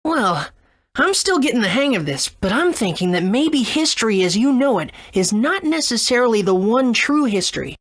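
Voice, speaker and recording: A man's voice: very high voice, very animated, normal speed, fairly bright tone, heavily acted. A man playing an eager, wide-eyed boy hero in a video game, youthful and earnest. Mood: thoughtful. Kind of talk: English video game voice acting.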